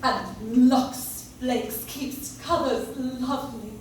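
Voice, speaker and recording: A woman's voice: high voice, extremely slow, a little mellow. An actress playing an enthusiastic lady drama coach in a scripted 1940s radio advertisement. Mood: gushing. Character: gushing lady drama coach endorsing soap flakes. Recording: echoey, distant, noisy audience-member recording.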